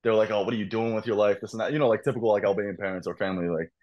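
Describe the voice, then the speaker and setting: A young man, a podcast guest and content creator, telling animated stories in casual chat over a video call. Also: on the low side, rapid-fire, dull audio. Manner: animated storytelling, laughs often.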